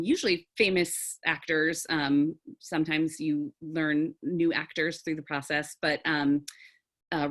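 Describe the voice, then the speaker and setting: A woman theatre teacher with a low, authoritative register, explaining things thoughtfully in an online group discussion. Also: fairly bright tone. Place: video call.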